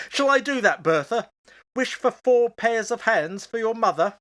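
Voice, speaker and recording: A man's voice: extremely high pitch, expressive, slow pace, fairly bright tone, heavily acted. Man playing a genial father with swooping intonation. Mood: jovial. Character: genial, curious, stubborn father of a household. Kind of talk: radio play acting.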